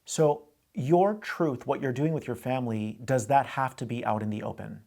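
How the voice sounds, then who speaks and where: A man's voice: mid-range voice, normal speed, creaky, a little mellow. A man hosting a call-in advice show, coaching a caller in a direct way and often repeating himself. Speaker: host giving advice.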